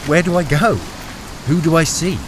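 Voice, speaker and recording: A man's voice: fairly high pitch, steady tone, normal speed, lightly acted. A man playing a wary, hard-up army deserter who is the hero of a radio thriller. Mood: probing. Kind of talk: radio thriller narration and dialogue. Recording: noisy, rain-soaked radio drama recording.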